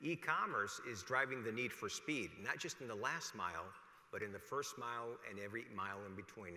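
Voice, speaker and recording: An American man hosting a conference, reading a formal, measured introduction of a speaker to the room. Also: medium pitch, sharp tone. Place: large conference hall, podium. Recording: echoey hall through PA.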